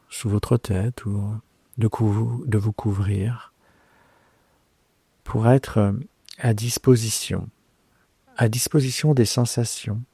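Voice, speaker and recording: A man guiding a yoga nidra relaxation in a soft, breathy, hushed voice, unhurried, with long pauses and gently repeated phrases. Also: fairly low pitch, no echo. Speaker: yoga nidra guide, host. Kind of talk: slow guided relaxation meditation.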